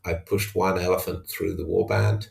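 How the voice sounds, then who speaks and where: A man giving an unscripted recap of a tabletop wargame in a hesitant, halting way. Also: low voice, steady tone, gravelly, a little mellow. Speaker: tabletop wargamer narrating own battle report. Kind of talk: unscripted match recap commentary.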